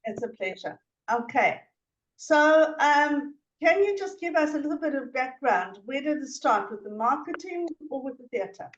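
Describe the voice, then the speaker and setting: A woman hosts an interview with a fairly high voice, asking plain, measured questions. Her sound is dull and lo-fi, with the top end missing. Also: sing-song. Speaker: interview host, coach. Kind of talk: interview hosting.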